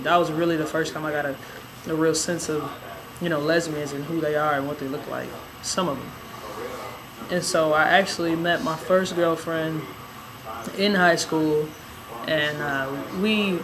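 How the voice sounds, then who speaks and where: A young woman with a raspy, hoarse voice telling a personal story in a relaxed, rambling way with many fillers. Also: low voice, poor audio. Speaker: vlogger. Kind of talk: casual personal storytelling to camera.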